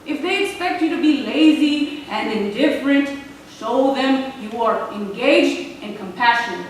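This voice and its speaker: Woman playing high-voiced steadfast grandmother, echoey stage. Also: lively intonation. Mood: urging. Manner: measured, earnest, switches between English and Spanish. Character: wise, steadfast Mexican American grandmother comforting her grandson. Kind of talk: staged reading, earnest theatrical delivery. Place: theater stage, recorded from the audience. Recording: echoey hall from far off, faint noise.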